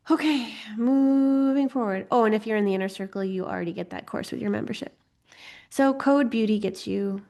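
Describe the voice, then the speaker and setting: A woman's voice: medium pitch, a little mellow. A woman with a slightly congested voice, teaching an informal online class in a playful, chatty way with lighthearted asides. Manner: playful, giggly, self-deprecating asides. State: slightly congested from getting over a cold. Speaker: host teaching an online astrology course.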